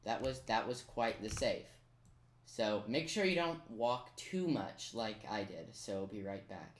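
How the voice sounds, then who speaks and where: Guy with a lowish voice talking thru a game level, roomy sound, keys clacking. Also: animated, unhurried. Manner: informal, step-by-step instructing with playful asides. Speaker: gamer giving a tutorial. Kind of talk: casual game walkthrough commentary. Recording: roomy echo, noise, keyboard clicking. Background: computer keyboard typing and clicks.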